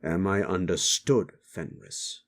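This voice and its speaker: A man playing a stern, weary keeper of an underworld club in an audio drama, measured, scolding and authoritative. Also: deep voice, exaggerated voice. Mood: stern warning. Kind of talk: dramatic audio roleplay acting.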